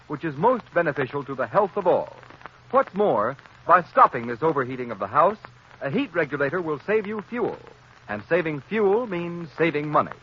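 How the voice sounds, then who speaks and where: High-pitched, animated male radio announcer. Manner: emphatic, salesmanlike. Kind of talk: 1930s scripted radio advertisement. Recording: muffled, noisy 1930s radio recording.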